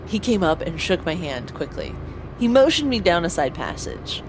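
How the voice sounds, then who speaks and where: A woman's voice: fairly low pitch, big pitch swings, normal speed, noisy background. A woman playing an earnest young space pilot who narrates her own story in an audio drama. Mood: reflective narration. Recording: clear vehicle ambience behind voice.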